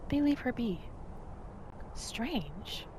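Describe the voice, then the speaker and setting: A woman narrating a hunter's day in a calm, soft third-person voice, sometimes dropping into a whisper, as an ASMR-style gameplay roleplay. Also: fairly high pitch, drawn-out words, background noise. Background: loud game music.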